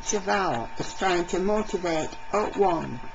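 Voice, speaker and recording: A woman's voice: fairly low pitch, expressive, measured pace, gravelly. A woman reading scripted narration as the narrator of an amateur audio drama. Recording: dull, very noisy homemade recording.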